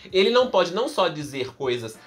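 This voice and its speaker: A man's voice: fairly high pitch, fairly quick, soft background music. A man in his thirties from Brazil, explaining a philosophy text to camera step by step, recorded close up in a room with bookshelves. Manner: expressive, explains step by step with examples. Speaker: philosophy teacher. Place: indoor room in front of bookshelves. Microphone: camera close up, facing the lens.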